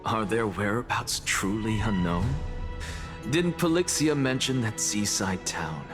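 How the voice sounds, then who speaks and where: A proud, learned alchemist in a fantasy game. The voice sits fairly low and delivers theatrical lectures with total confidence, with music clearly playing behind it. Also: male voice. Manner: lecturing, grandiloquent. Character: confident scholarly male alchemist, theatrical and self-assured. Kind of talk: scripted dramatic game dialogue.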